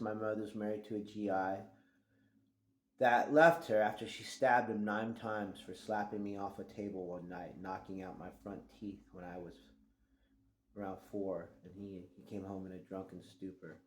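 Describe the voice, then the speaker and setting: A middle-aged man from Thailand, reading his own book aloud in a conversational way and letting his voice drop quietly at the ends of phrases. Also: lowish voice, steady tone, moderate pace, reverberant, a little hiss or hum, poor audio. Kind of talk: reflective audiobook-style reading.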